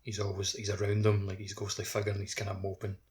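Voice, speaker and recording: A man's voice: fairly low pitch, monotone, fast talker, crowd noise. A man co-hosting a podcast, discussing a game casually with hesitant, hedged phrasing. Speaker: podcast co-host.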